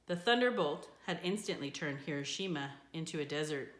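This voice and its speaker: A woman reading a children's book aloud in an expressive storytelling style. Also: deep voice, a little fast. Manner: expressive, with character voices for dialogue. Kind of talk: scripted storybook narration, read aloud. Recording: echoey room.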